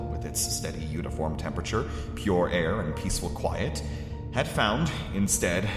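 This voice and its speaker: A man giving a measured, grave first-person horror narration that swells with dread. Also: low voice. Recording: echoey cave-like reverb with music.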